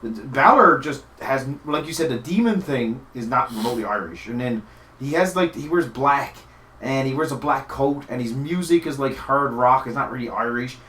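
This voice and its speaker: A man's voice: mid-range voice, lively intonation, moderate pace, fairly bright tone. A young man from Newfoundland co-hosting a casual chat show, rambling, swearing casually and laughing often. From Newfoundland, Canada. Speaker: podcast co-host. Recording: echoey room.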